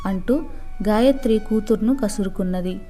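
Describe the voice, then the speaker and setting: A woman's voice: medium pitch, normal speed, warm tone, faint music behind. A woman reading a scripted moral story for children as a storyteller.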